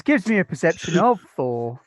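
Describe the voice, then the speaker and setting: A man playing in a tabletop game, talking through his character's moves in a relaxed, dry way. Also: high voice, normal speed, dry recording. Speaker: player.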